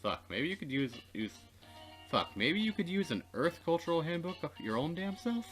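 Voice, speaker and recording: A man reading a visual novel aloud as a Let's Play narrator, expressive and playfully dramatic. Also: on the high side, moderate pace, soft background music.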